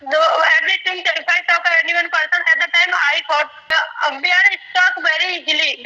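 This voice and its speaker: High, bright Hindi-speaking woman on a call. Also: lo-fi sound. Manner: hesitant, with frequent fillers and restarts. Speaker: English learner in conversation. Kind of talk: casual practice conversation.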